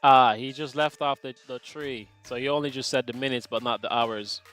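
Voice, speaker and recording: A man's voice: mid-range voice, fairly even intonation, normal speed, a bit bright. Laid-back guy from jamaica running a trading live stream, jokey, answers chat, faint music behind. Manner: joking, chatty, reads out chat questions. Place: home setup, UK.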